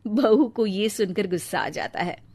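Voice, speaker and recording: A woman's voice: mid-range voice, normal speed, darkish tone. A woman narrator reading a scripted story for a Hindi cartoon.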